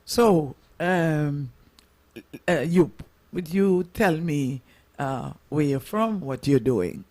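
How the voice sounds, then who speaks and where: A man hosting a local radio program, introducing and interviewing guests in halting, wordy, rather formal phrasing. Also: fairly high pitch, expressive, a little mellow.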